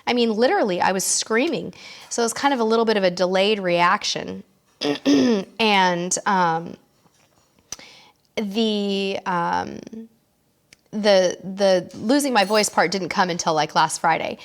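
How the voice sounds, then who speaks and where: A middle-aged woman hosting a casual live stream, chatty with her viewers, her voice hoarse and worn from losing it, often clearing her throat. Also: medium pitch, slow pace, long pauses. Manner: chatty, warm toward viewers, reads out comments. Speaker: cruise show host.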